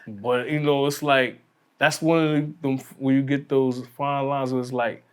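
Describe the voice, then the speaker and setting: A man's voice: mid-range voice, fairly even intonation, normal speed, clean tone. A man speaking casually and conversationally in slang, as a DJ guest on a podcast.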